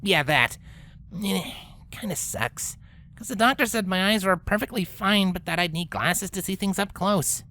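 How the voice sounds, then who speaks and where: A woman's voice: mid-range voice, moderate pace, bright, forceful tone, slight background noise, close to an everyday voice. A woman voicing a brash, sulky young female cartoon pegasus who grumbles in cocky slang. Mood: casual, stubborn. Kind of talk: character acting in a fan-fiction story reading.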